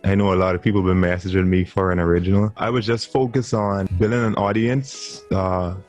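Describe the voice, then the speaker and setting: A man's voice: low-pitched, fairly even intonation, fairly quick, a little mellow, highs cut off, faint music behind. A man in his twenties with a Bahamian accent, talking earnestly as a guest on a video-call interview. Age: 20s. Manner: earnest, laughs easily. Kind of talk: casual interview chat.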